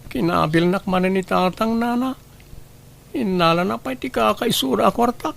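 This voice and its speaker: A woman's voice: medium pitch, normal speed, vocal fry, close to an everyday voice. A woman playing a timid, hard-working youngster in a radio drama, speaking in a deferential, pleading manner. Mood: distressed, pleading. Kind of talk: Ilocano radio drama acting.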